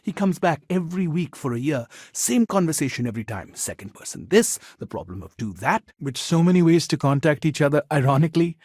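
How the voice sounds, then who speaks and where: Earnest sci-fi game counselor, male, really varied pitch, slightly husky, very dry close sound. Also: very animated, fairly quick, few pauses, a little mellow, no room sound. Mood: calm, earnest. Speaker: video game character. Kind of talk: video game dialogue, conversational.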